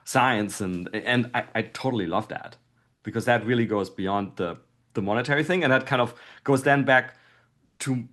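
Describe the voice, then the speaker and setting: A man's voice: medium pitch, moderate pace, a bit bright. A middle-aged man speaking casually and thoughtfully as an interview guest, often dropping "like" into his sentences. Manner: thoughtful, hedging with "like". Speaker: podcast guest, investor.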